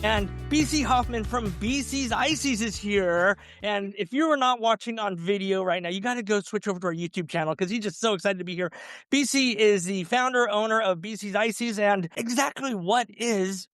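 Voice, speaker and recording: A man's voice: high-pitched, moderate pace, fairly bright tone, no room sound, clean studio sound, soft background music. A man hosting a podcast in a casual, conversational interview style. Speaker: podcast host.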